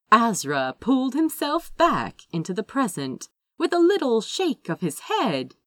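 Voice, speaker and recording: A woman reading a story aloud as an audiobook, with expressive, theatrical scripted narration. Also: a little high, no room sound, studio quality. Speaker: audiobook reader.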